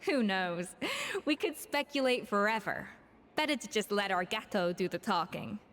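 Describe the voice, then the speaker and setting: A woman voicing a proud, exacting young female master chef in a video game, crisp and self-assured. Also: medium pitch, expressive, normal speed, fairly bright tone, slight background noise, close to an everyday voice. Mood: musing. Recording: game music behind voice.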